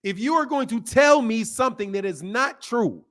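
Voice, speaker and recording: A man's voice: very high voice, moderate pace. A man in his fifties hosting a live boxing talk stream, chatting loosely with viewers, repeating points for emphasis and laughing often. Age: 50s. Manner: animated, talks back to chat, repeats himself for emphasis, laughs often. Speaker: boxing channel host. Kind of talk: casual live-stream chat reading comments.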